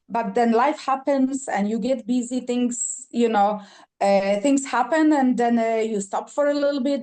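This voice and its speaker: A woman's voice: a little high, mellow. A woman from Poland, a singer-songwriter guest on a podcast, talking enthusiastically with frequent fillers and restarts. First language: Polish. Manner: enthusiastic, halting with many fillers and repeats. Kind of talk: podcast interview.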